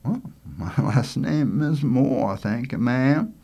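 A man's voice: mid-range voice, slow pace, mellow, pitched above the performer's own voice. A man voicing an eager, earnest island boy, polite and outspoken, with folksy rustic speech in a storybook reading.

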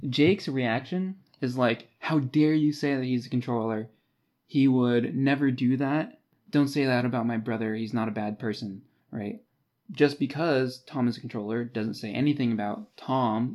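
A man's voice: medium pitch, moderate pace, darkish tone. A man co-hosting a podcast, discussing a book in a casual, conversational way. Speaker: podcast co-host.

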